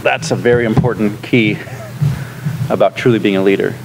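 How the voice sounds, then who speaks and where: A man in his thirties, a pastor, leading a relaxed classroom discussion with lots of fillers, recorded in a church classroom by a room camera. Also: on the low side, normal speed, gravelly, background noise. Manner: relaxed, chatty, frequent fillers and laughs. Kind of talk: casual teaching discussion.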